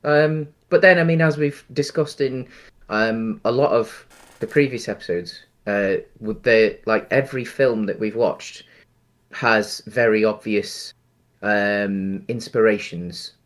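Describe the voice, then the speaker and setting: Low-voiced man in casual discussion. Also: slow pace. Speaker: podcast co-host.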